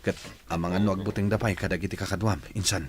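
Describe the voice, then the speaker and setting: A man's voice: sits low, fairly even intonation, fast talker, close to an everyday voice. A man playing a concerned village man in an Ilocano radio drama, an elder brother sheltering his rebel cousin. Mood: surprised, wary.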